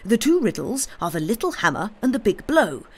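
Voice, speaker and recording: A man reading an audiobook, voicing a high-strung, agitated young male curate who speaks in an excitable, stammering, unsteady way. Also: moderate pace. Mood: feverish. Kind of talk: audiobook character dialogue.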